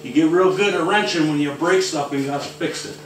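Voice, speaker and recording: A man's voice: a little high, brisk. A man in his forties hosting a casual how-to demonstration in his garage, chatty and joking while he works. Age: 40s. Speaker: homestead channel host. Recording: echoey garage, distant mic, noisy.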